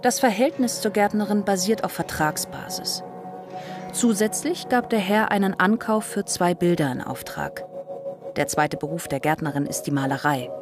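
A woman's voice: medium pitch, moderate pace, music in the background, close to an everyday voice. A woman acting as the narrator of a radio play, formal and matter-of-fact as she reports on her master. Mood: formal, factual.

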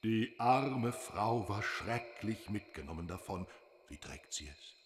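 A man's voice: lowish voice, expressive, slow pace. A man playing a mournful dead man in a radio drama, speaking solemnly and formally in a hollow voice. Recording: long reverberant hall sound.

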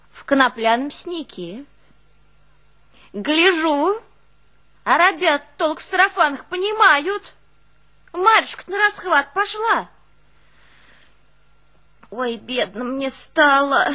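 A woman's voice: sits high, bright, forceful tone, exaggerated voice. An actress playing an old peasant woman reminiscing in a folksy, emotive monologue, with a northern Russian village dialect. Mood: rueful. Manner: folksy, emotive, with sighing exclamations and wailing quotes. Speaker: stage actress in a one-woman play. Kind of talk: dramatic monologue, folksy storytelling.

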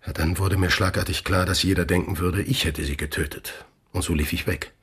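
An actor playing a troubled, remorseful middle-aged father in a radio drama, speaking in a gravelly, breathy hush. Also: deep voice, steady tone, brisk, full character voice. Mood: shaken confession.

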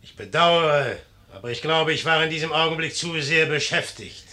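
An actor in a 1950s radio play as a proud, irascible young general with a curt, commanding manner. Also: male voice, a little high, normal speed, bright, forceful tone.

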